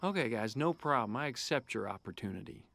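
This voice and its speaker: A man's voice: medium pitch, animated, brisk, vocal fry, fairly bright tone. A man voicing a confident, good-natured young race car in a video game, casual and wry, with an American accent.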